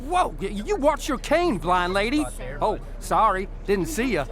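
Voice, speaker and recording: An actor playing a pushy, mocking American tourist in a radio play. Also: male voice, high-pitched, sing-song, unhurried. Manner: pushy, sneering. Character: brash, mocking male American cruise tourist. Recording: outdoor street and vehicle noise.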